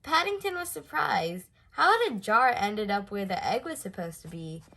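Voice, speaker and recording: A woman reads a children's storybook aloud with a bright, forceful voice. Her pitch moves in lively swoops as she leans on key words, giving an expressive storytelling delivery. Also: moderate pace, creaky. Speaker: storybook reader.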